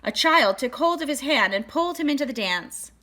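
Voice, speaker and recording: A woman reading a children's picture book aloud as narrator, with deliberate, expressive phrasing. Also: sits high. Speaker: storybook reader.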